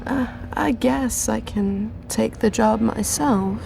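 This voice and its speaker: Actress as cunning female death boss, slow, mellow, creaky, coolly commanding, music underneath. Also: fairly high pitch, noisy background, heavily acted. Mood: dry, cunning. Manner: measured, unhurried, coolly authoritative. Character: the Reaper, calm, cunning female leader of soul-takers. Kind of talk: audio drama acting. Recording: music behind the voice.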